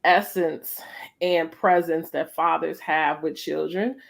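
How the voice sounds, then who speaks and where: A woman's voice: low-pitched, steady tone, slow, creaky. A middle-aged American woman hosting a casual, reflective conversation, speaking emphatically and often checking in with her listener. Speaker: host of a family storytime conversation.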